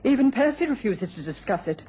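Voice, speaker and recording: A genteel, anxious young wife with an educated English accent. Her voice is fairly high and very expressive. The old radio recording is dull. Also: brisk, slight background noise. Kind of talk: mid-century British radio drama acting.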